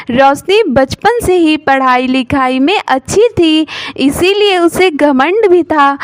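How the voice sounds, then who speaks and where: High-pitched, unhurried woman storyteller. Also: no room sound. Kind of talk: scripted moral-story narration.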